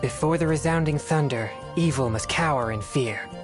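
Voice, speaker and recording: A woman playing a solemn, disciplined teenage boy exorcist in a video game, with formal, measured speech. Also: sits low, music in the background, natural voice. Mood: solemn, composed. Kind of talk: scripted video game character voice lines.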